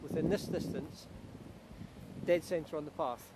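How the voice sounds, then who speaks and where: A middle-aged man from Kenya, a paragliding instructor, chatting with self-assured quick asides outdoors by a beach. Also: on the high side. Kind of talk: casual chat to camera outdoors. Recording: outdoor wind and traffic noise.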